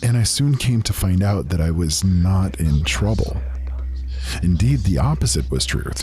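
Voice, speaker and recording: A man's voice: deep voice, expressive, measured pace, darkish tone. A man voicing a proud, earnest mage who recounts his past in solemn, archaic storybook phrasing. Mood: proud, relieved. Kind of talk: dramatic fantasy story narration.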